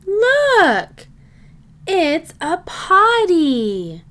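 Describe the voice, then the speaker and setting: A woman reading a children's storybook aloud in simple, deliberate phrases meant for young listeners. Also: extremely high pitch, huge pitch swings, fairly bright tone. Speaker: children's book reader.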